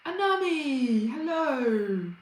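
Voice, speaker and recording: A woman's voice: sits high, very animated, very slow, reverberant, a little hiss or hum. An Australian woman, a Reiki healer hosting a casual livestream, chatting and greeting viewers by name.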